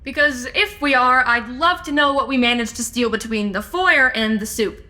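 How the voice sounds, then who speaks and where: A woman acts out a sharp amateur investigator in an audio drama. Her voice is high and bright. She speaks directly and drily, practical and commanding. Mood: questioning. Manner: direct, brisk, dry. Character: sharp, practical, take-charge female amateur sleuth.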